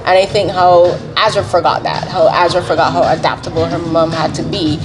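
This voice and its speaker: Woman from barbados in her 40s, lowish voice, chatty and animated, music under it. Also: brisk, poor audio. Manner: animated, laughs often, quotes herself in a higher voice. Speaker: interviewer. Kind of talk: casual interview chat.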